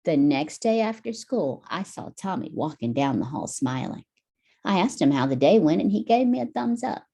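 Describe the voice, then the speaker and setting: A woman teacher reading a picture book aloud to children in an expressive, deliberate storytelling manner. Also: low voice, vocal fry, breathy edge.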